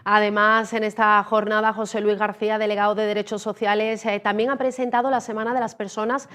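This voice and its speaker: A woman reading the local news as anchor in a measured, formal way. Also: medium pitch, level tone, a bit bright. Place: TV studio.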